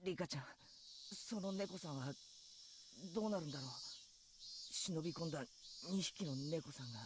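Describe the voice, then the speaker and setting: A woman's voice: sits low, quick pace, noisy background, lightly acted. A woman voicing an anxious, guilt-ridden teenage schoolboy in an anime visual novel, stammering and hesitant. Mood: remorseful, afraid. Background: music, insects.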